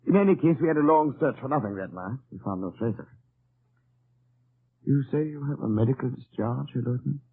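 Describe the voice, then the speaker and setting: Smarmy german officer in an old radio play, fake polite, suspicious, raspy edge, tinny sound. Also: male voice, medium pitch, expressive, fairly quick. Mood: insinuating. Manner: falsely courteous, insinuating. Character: suspicious, oily German officer, a wartime commandant. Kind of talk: old-time radio drama acting.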